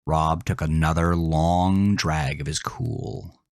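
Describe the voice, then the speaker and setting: Low-voiced guy reading a scary story real slow, intense, soft music under it. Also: fairly even intonation, no echo, clean studio sound. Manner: intense, dramatic delivery dropping into whispers. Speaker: horror story narrator. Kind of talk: scripted horror narration.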